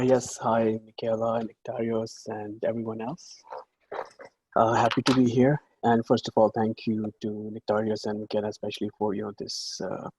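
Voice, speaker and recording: A man's voice: fairly low pitch, a little mellow, husky, dry recording, low-quality recording. A man speaking as a co-author at an online book launch, polite and using "you know" fillers. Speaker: co-author on a panel. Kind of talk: online panel remarks. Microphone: video call.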